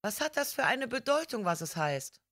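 A woman voicing a haughty, puzzled young lady in an audiobook, questioning in a quiet but clear way. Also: a little high, very animated, moderate pace, dry recording, studio quality, pitched above the performer's own voice. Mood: puzzled, sharp. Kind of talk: audiobook character dialogue.

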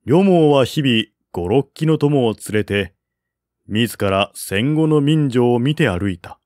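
A man reading a historical novel as scripted narration, in a formal, measured literary manner. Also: mid-range voice, animated, darkish tone, no room sound, studio quality. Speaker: audiobook narrator.

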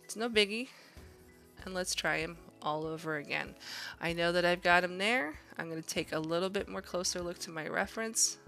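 Bright, low-pitched woman teaching drawing. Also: normal speed, creaky, faint music behind. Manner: encouraging, thinking aloud while drawing. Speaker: art instructor. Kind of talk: casual drawing demonstration narration.

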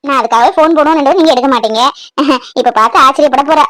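Elderly grandmother acted by a woman, high voice, dry close sound, affectionate, coaxing, family drama. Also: fast talker, fairly bright tone, dry recording.

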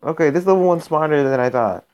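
A young man hosting a mobile game channel, his voice a bit raspy from being sick, rambling and cutting himself off as he comments on his matches. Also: on the high side, fast, darkish tone, muffled recording. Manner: rambling, self-interrupting, gets exasperated during play. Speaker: gaming channel host. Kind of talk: casual gameplay commentary.

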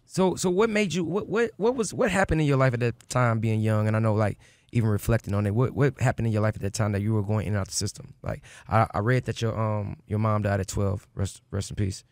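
Male radio host, young, rapid-fire, playful hype, dry close mic. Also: lowish voice, a little mellow, dry recording. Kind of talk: casual radio interview. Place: radio studio. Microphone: studio mic on boom arm.

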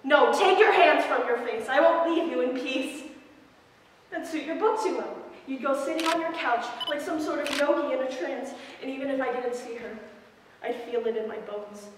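Teenage girl acting relentless tormentor, high, echoing. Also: moderate pace, lightly acted. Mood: defiant. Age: teens. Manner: intense, declamatory, biting. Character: accusing, tormenting, relentless woman in a stage play. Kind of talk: stage dramatic monologue. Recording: noisy, echoey hall, far from mic.

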